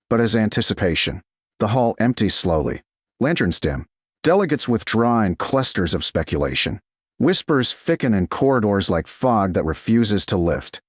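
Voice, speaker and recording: A low-pitched man narrates a romantic tale in a deliberate, measured manner. His pitch moves expressively through short dramatic phrases. The recording is close and dry, with no room sound. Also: no echo. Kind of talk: scripted narration of a romance story.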